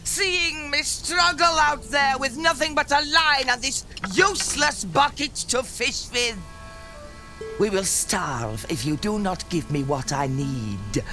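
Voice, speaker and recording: A woman's voice: a little high, heavily acted, rough recording. An actress playing a foul-mouthed, bitter village fisherwoman who scolds and insults in a dramatic video game scene. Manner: crude, insulting, sharp-tongued scold. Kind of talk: dramatic game cutscene acting.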